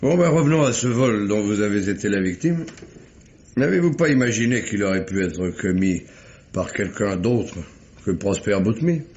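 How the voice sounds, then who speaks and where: An actor playing a courteous, probing police detective in a measured, formal manner, in the style of an old French radio mystery. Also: male voice, on the low side, a little mellow, close to an everyday voice. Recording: muffled vintage radio recording.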